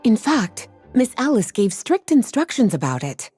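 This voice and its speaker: A woman voicing a calm, knowing librarian witch in a video game, who speaks in a languid, gently teasing way. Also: fairly high pitch, normal speed, husky, soft background music. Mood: explaining.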